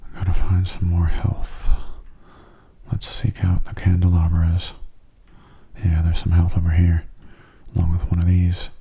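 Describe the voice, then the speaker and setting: A man giving calm, unhurried, soft-spoken ASMR commentary on gameplay, musing aloud in a breathy voice that often nears a whisper. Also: low-pitched. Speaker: gaming video host.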